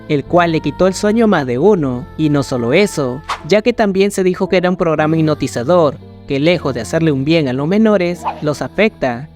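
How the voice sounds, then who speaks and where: Higher-pitched male horror story narrator. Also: a little mellow. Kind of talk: scripted creepypasta narration. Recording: music behind the voice. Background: background music.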